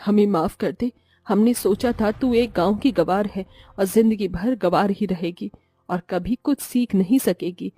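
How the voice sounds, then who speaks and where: A woman's voice: medium pitch, measured pace, mellow, slightly rough. A woman voicing a stern middle-aged mother-in-law in a cartoon, with a commanding manner. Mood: apologetic. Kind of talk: cartoon moral story voice acting.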